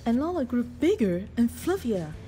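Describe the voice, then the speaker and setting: A woman reading a children's picture book aloud in an expressive, deliberate storytelling manner. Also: fairly high pitch, slight background noise. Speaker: storybook reader.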